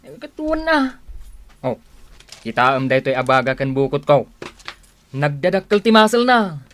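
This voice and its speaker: A woman's voice: deep voice, very animated, measured pace, a little hiss or hum. A woman voicing a boastful, carefree, muscle-proud young husband in a radio comedy drama.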